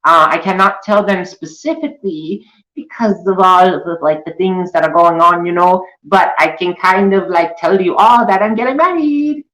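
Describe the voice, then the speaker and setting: A man putting on a mocking falsetto voice of a whiny, self-pitying young woman who rambles with many fillers. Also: normal speed, pushed and bright, reverberant, rough recording. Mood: gushing.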